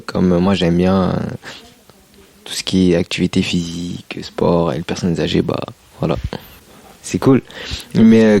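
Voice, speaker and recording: A twenty-year-old man giving an informal interview answer in a hesitant, halting way. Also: low-pitched, gravelly, darkish tone, no echo. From born in Portugal, grew up near Paris from age six. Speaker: civic service volunteer.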